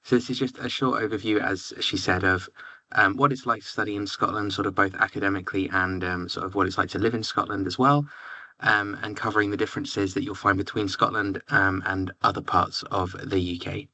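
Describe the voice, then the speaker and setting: A young Englishman with a London-area accent, presenting slides at a relaxed pace with plenty of "um"s and "sort of"s. His voice sits a little low but rings bright and forward, and the recording is dry and close with almost no room sound. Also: a little fast, dry recording. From England. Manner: relaxed, filler-heavy, says "sort of" often. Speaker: university representative. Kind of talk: presentation to education agents.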